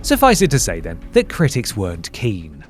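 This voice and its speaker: A man's voice: lowish voice, huge pitch swings, normal speed, faint music behind. A man reading a scripted video essay narration, with dry, sarcastic asides.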